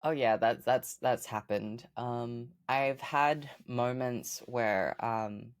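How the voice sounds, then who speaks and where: A woman with a fairly deep voice, a podcast guest chatting casually and upbeat, with lots of ums and likes. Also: takes it slowly, no room sound, studio quality. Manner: chatty, upbeat, many fillers like um and like. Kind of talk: casual interview chat.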